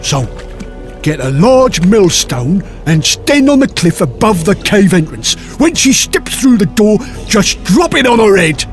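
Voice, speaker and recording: A man's voice: high voice, big pitch swings, unhurried, soft background music, voice pushed up. A man voicing a mean, scheming dwarf villain in an audiobook, muttering and impatient. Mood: bossy. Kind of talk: audiobook character voice.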